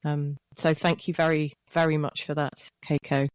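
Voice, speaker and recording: A woman co-hosting a podcast, speaking in a thoughtful, measured way with frequent restarts. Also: low voice, level tone, smooth, no room sound, low-quality recording. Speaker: podcast co-host. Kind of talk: reflective interview talk.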